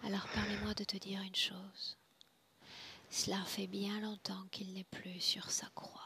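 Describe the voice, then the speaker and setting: Slow, hushed woman with a creaky voice. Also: medium pitch. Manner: hushed, breathy, deliberate, commanding. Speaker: spiritual hypnosis session guide. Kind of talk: guided trance session, slow solemn address.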